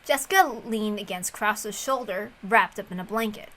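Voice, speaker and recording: Bright-voiced woman reading a story. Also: mid-range voice, normal speed. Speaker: reader of a visual novel. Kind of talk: expressive narration read aloud.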